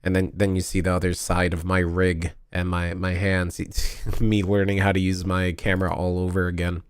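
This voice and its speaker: A man's voice: low voice, completely flat delivery, moderate pace, clear, resonant tone, no room sound. A tired, sniffly man chatting casually on a stream, rambling and correcting himself as he goes. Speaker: vlogger streaming a convention video review. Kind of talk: casual live-stream chat while editing.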